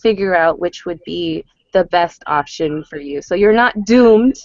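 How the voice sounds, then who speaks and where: A woman's voice: lowish voice, expressive, unhurried, vocal fry, dry recording. A woman working as a college advisor, explaining and answering questions on a conference call. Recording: dull lo-fi conference call line.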